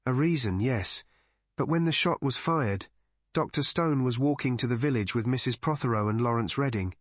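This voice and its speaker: A man playing a courteous, measured village vicar in an audiobook mystery. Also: mid-range voice, no echo, close to an everyday voice. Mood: thoughtful.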